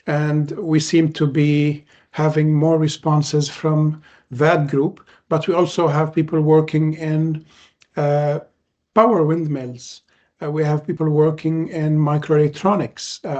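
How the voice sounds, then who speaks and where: Lebanese-born man hosting, rather high voice. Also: a little mellow, lo-fi sound. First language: Arabic. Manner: measured, frequent "uh" pauses. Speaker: podcast host. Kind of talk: casual podcast conversation.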